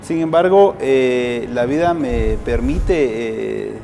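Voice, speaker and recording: A man's voice: medium pitch, slow, gravelly, mellow, long reverb tail, soft background music. Hesitant Guatemalan man answering interview questions. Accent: Guatemalan Spanish. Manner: hesitant, frequent "eh" fillers and restarts. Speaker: guest, civil society activist.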